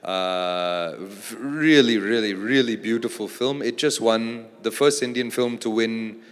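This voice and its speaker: Low-voiced man in a big reverberant hall. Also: unhurried, clean tone. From Australia. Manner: thoughtful, with frequent fillers. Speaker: actor and film producer, panel guest. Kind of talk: casual panel conversation. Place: large event hall on stage. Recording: long reverb of a large hall.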